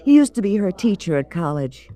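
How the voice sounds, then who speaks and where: A woman's voice: medium pitch, sing-song, brisk, dark tone, close to an everyday voice. An actress playing a flippant, worldly young woman with slangy talk in 1940s radio drama style. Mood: flippant, amused.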